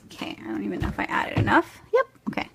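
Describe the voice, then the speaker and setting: A woman in her thirties with a French accent, demonstrating a recipe to viewers and checking in with "okay?" and "guys" after nearly every line. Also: fast talker. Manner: chatty, keeps tagging "okay?" and "guys". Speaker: vlogger demonstrating a smoothie recipe. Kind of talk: casual kitchen demo, talking to viewers. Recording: noisy home recording.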